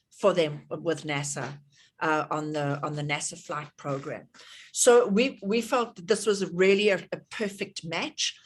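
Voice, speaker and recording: A middle-aged woman with a South African accent hosting an online workshop over a video call, chatty, with many fillers and restarts. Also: lowish voice. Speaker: workshop host.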